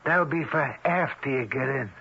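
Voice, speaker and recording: An actor playing a menacing, bossy Italian-American mobster in an old-time radio drama, with streetwise slangy American speech. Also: male voice, mid-range voice, normal speed, creaky, sharp tone, a little hiss or hum. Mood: businesslike, wry. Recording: muffled vintage radio broadcast.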